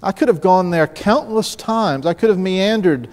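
Fifties pastor from around new orleans, storytelling sermon, kinda high voice, big echoey church hall. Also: male voice, animated, moderate pace, darkish tone. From New Orleans area, Louisiana. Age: 50s. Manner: storytelling, conversational, with restarts and asides to the congregation. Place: church sanctuary, large room. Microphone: pulpit mic, mid-distance camera. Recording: church hall with long reverb.

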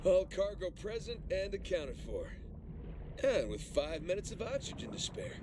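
A man's voice: high voice, big pitch swings, moderate pace, background noise. A man playing an easygoing, wisecracking salvage diver in a naturalistic video game performance, casual and wry. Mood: upbeat, joking. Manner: casual, wry, muttering to himself.

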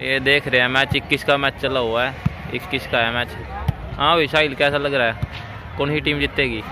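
A young man vlogging on a phone at a sports ground, chatty and excited as he points things out. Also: medium pitch, fairly quick. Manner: excited, chatty tour-guide narration. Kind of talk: casual vlog commentary. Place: open sports ground outdoors at dusk. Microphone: phone camera held at arm's length. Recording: outdoor crowd and street noise. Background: players shouting, traffic.

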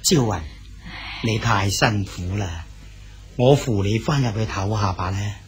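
An actor with a Cantonese accent playing an aging exiled male poet, gentle and fatherly in a radio drama. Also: on the low side, a little slow, breathy edge, faint music behind. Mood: calm. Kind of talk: 1980s Hong Kong radio drama acting.

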